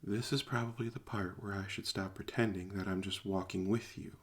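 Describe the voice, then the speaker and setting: A man voicing a guarded, calculating woman traveler who bargains in a hushed, deliberate, withholding way in an audio drama. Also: sits low, steady tone, lightly acted. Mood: secretive, measured. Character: guarded, calculating female traveling companion, soft-spoken bargainer.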